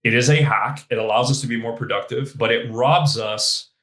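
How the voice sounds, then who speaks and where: A man speaking as a podcast guest, talking in an animated, emphatic way and swearing casually. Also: mid-range voice, normal speed. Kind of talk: casual interview talk. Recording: roomy echo.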